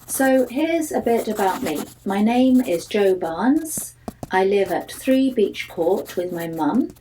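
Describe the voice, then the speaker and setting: A woman's voice: medium pitch, animated, takes it slowly, warm tone, slight background noise, low-quality recording. A woman voicing an anxious, candid schoolboy reading out his diary in a chatty, confiding way for a children's audiobook. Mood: plain, reciting. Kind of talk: first-person children's audiobook narration.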